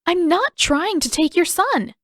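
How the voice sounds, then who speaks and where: A woman's voice: very high voice, lively intonation, measured pace, fairly bright tone, no room sound, studio quality, pitched above the performer's own voice, heavily acted. A woman voicing an earnest, apologetic teenage girl in an audiobook, with a reassuring but easily flustered manner. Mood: anxious, earnest. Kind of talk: audiobook character acting.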